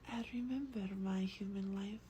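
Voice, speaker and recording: A woman with a breathy whisper reads a story aloud in a slow, hushed, gentle ASMR style, as a vampire host close to the microphone. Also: medium pitch, steady tone. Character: gentle female vampire host reading a bedtime book. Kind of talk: soft-spoken ASMR roleplay and book reading. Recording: faint background noise.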